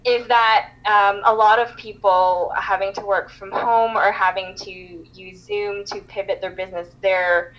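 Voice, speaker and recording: A woman from Canada chatting as a podcast guest, full of "like" and "um" as she restarts her thoughts. Her voice sits at an ordinary middle pitch. The sound is dull and lo-fi, like remote call audio. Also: fairly even intonation, measured pace, fairly bright tone. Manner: chatty, many fillers and restarts. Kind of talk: casual interview chat.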